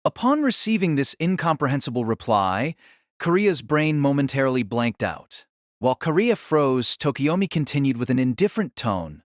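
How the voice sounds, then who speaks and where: A man's voice: mid-range voice, big pitch swings, moderate pace, no echo, clean studio sound. A man giving solemn, theatrical scripted narration of a fantasy novel.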